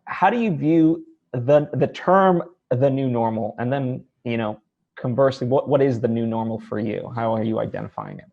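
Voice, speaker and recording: A man's voice: medium pitch, moderate pace, creaky. A man hosting a casual interview, talking in a discursive way and often checking in with his guest. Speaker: interview host. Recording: slightly dull, lo-fi sound.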